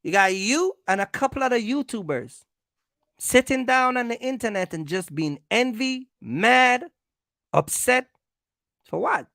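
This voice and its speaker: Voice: mid-range voice, animated, measured pace, creaky, a bit bright, no room sound, clean studio sound. A content creator delivering a casual, confrontational rant, repeating points and throwing out rhetorical questions. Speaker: YouTube content creator, trucking vlogger. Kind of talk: casual rant to camera, calling out rivals.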